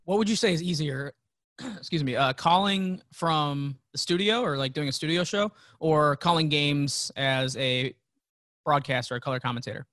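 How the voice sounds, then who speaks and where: Casual male interview host, slightly high voice, dry close recording. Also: moderate pace, fairly bright tone, dry recording. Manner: casual, easy questioning with fillers. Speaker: podcast host.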